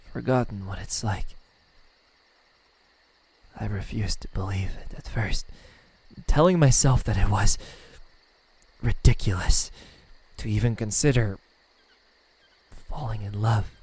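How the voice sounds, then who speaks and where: A man plays a tender, lonely male demon in a romantic ASMR roleplay. His voice is low and very breathy, sinking toward a whisper. He speaks slowly and haltingly, with stammers and self-doubt. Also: exaggerated voice. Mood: earnest, tender. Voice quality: breathy, soft, half-whispered.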